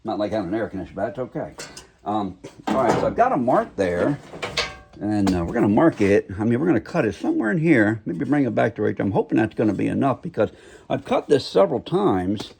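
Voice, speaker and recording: Low, gravelly man muttering through restoration work in a noisy home garage; casual hobbyist host. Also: sing-song, quick pace. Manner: quiet, mumbling while working. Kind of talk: casual workshop talk to camera.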